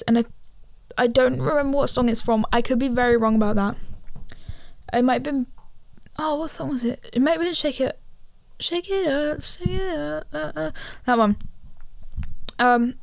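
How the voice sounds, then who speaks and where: A teenage girl hosting a solo podcast from her living room on a handheld mic, chatting casually, rambling and correcting herself, her voice a little gravelly from a cold. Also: high voice, no room sound. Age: teens. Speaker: podcast host. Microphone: handheld studio mic.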